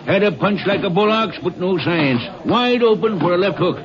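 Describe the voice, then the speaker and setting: An actor playing a folksy, opinionated old American boxing fan who rambles and hems and haws, in old-time radio style. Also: male voice, high voice, animated, music in the background. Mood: crusty, opinionated. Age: older. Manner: folksy, rambling, hesitant drawn-out speech. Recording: muffled 1950s radio recording, crowd noise behind. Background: crowd noise.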